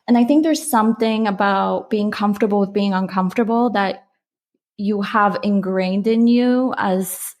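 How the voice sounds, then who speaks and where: Woman from poland chatting on a podcast, thinks out loud, mid pitch. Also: moderate pace. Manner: reflective, frequent restarts and "like" fillers. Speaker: startup founder guest. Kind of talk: casual interview conversation.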